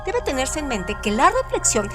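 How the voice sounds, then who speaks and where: High, lively woman reading over music. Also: normal speed. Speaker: host reading trivia segment. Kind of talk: scripted reading. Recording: music playing underneath. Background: background music.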